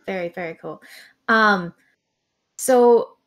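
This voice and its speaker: A woman's voice: mid-range voice, slow, dull audio. A woman chatting casually as a guest on a livestream. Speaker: stream guest and chat moderator. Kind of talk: casual chat.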